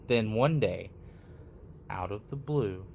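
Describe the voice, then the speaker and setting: A man reads a children's picture book aloud with an expressive, animated delivery. He takes his time, leaving deliberate pauses between short phrases. His mid-pitched voice moves up and down freely, over faint background noise. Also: gravelly. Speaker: read-aloud storyteller.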